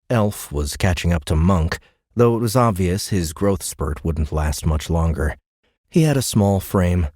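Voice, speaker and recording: A man's voice: deep voice, darkish tone, no room sound, clean studio sound. A man reading scripted narration of a science fiction novel as an audiobook narrator. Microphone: close studio microphone.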